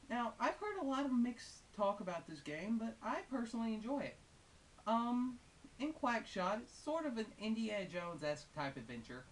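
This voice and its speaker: A female-sounding game reviewer giving casual, unscripted and opinionated commentary in a conversational way with wry asides. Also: medium pitch. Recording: hissy, distant microphone.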